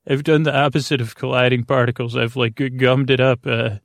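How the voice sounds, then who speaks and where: Gravelly, rambling man hosting a sleep podcast. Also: medium pitch, little pitch movement, no room sound, studio quality. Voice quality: gravelly, rusty vocal tone. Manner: meandering, halting, self-interrupting, absent-minded. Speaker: podcast host. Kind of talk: rambling bedtime monologue.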